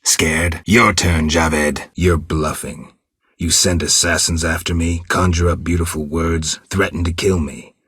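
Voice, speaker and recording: A man voicing a stoic, world-weary monster hunter in a video game, terse and deadpan, with a gravelly, husky low voice. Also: unhurried, no echo, clean studio sound, heavily acted. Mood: cold, menacing. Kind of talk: video game dialogue lines.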